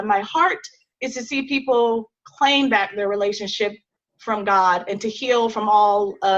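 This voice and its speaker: A woman in her thirties with a fairly high voice, speaking earnestly and at a measured pace. The sound comes through muffled, lo-fi video call audio. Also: fairly bright tone. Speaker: panelist. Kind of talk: webinar self-introduction.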